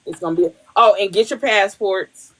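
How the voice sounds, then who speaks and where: A woman's voice: medium pitch, moderate pace, a bit bright. A woman hosting a podcast in casual chat, blunt and profane, laughing often. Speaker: podcast host.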